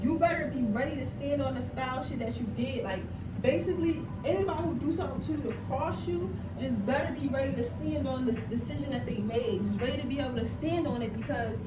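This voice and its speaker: High-voiced chatty woman, slightly stuffy. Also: fairly quick. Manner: chatty and blunt, swears freely, keeps checking in with viewers. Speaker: tarot card reader. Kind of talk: casual pick-a-card tarot reading. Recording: distant mic with noisy background.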